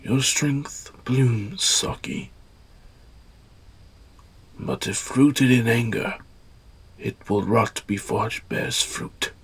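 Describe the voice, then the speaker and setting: A man playing a stern old warrior father and master in an audio drama, speaking in a solemn, measured way. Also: mid-range voice, creaky, slightly rough, exaggerated voice, low-quality recording. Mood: solemn, admonishing. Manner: solemn, measured, sage-like delivery.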